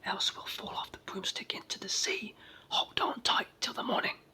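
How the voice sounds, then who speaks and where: A storyteller voicing a cheerful, polite little male brownie from a children's book in a raspy whisper. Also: normal speed, pushed and bright. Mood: practical.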